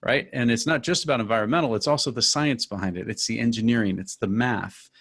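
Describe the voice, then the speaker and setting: A man's voice: mid-range voice, fast talker, a bit bright. A man hosting an interview, upbeat and affirming as he asks questions. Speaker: host. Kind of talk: fireside chat interview.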